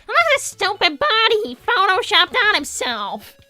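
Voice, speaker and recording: Super high bright sassy hedgehog girl, bratty and defensive, cartoon comedy stream. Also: wildly swooping pitch, normal speed, no echo, faint music behind, full character voice. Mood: indignant. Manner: indignant, sassy, dismissive. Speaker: character host reading viewer messages. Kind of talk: improvised in-character livestream comedy.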